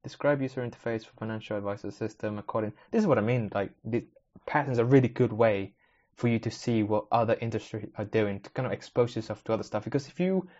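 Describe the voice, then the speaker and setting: A man with a fairly low voice whose first language is Spanish talks through a solo podcast. He rambles, stops himself halfway through sentences and makes wry asides. The recording sounds a little dull and lo-fi. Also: fast talker, a little mellow. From Spanish-speaking country, moved to the UK at age ten. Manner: rambling, self-interrupting, wry. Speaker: podcast host. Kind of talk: casual solo podcast, thinking aloud while browsing.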